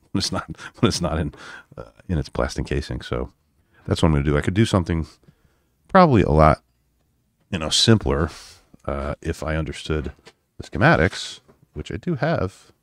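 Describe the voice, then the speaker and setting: A man giving a casual, unscripted electronics demo in a halting, self-deprecating way with wry humor. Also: a bass voice, no room sound, studio quality. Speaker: electronics hobbyist presenter. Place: indoors at a home workbench.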